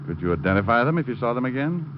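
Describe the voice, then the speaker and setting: An actor playing a wry, hard-boiled private detective in an old radio drama, with sardonic, clipped delivery. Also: male voice, medium pitch, sing-song, racing, noisy background, lightly acted. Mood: brisk, controlled. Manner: sardonic, clipped tough-guy wisecracks. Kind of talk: 1940s-50s radio detective drama acting. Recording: muffled old radio recording, music behind.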